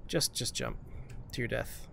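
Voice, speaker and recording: A man doing casual let's play commentary as host, muttering to himself with self-deprecating swearing. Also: unhurried. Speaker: gameplay show host. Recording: clear background game noise. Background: video game sound effects.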